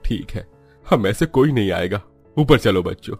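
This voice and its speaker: A man's voice: on the low side, lively intonation, normal speed, a little mellow, slightly rough, no echo, soft background music. A man voicing a poor, proud, despairing husband in a cartoon family melodrama, with a plaintive delivery. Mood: hurt, resigned. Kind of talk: Hindi animated family melodrama.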